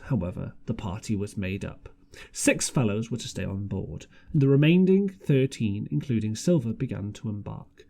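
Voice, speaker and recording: Man narrating with very lively pitch. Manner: stumbles over words and rereads phrases. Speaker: audiobook reader. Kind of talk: amateur storybook narration.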